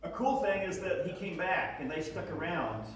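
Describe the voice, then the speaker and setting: A man's voice: high voice, moderate pace, fairly bright tone. Guy giving an informal history talk, thinking it through, super echoey room, mic far off. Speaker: presenter at a local history talk. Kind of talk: informal talk and discussion with audience. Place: indoor hall with an audience. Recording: very echoey room, distant mic, faint noise.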